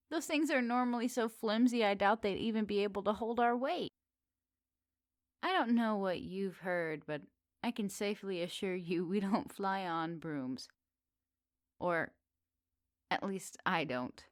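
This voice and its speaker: A woman playing a flirty, teasing witch in a soft, intimate ASMR roleplay. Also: medium pitch, dry recording, studio quality. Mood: curious, amazed. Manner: soft, teasing, giggly, unhurried. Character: teasing, flirty, lonely witch in a woodland cottage.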